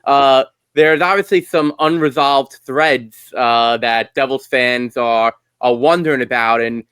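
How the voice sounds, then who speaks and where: A man's voice: mid-range voice, measured pace, fairly bright tone, smooth, no room sound. A man co-hosting a sports podcast in a casual, chatty style. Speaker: podcast co-host.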